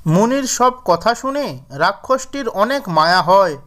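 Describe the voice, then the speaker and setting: A man's voice: high voice, expressive, unhurried, a bit bright. A man narrating a scripted cartoon fairy tale as the storyteller.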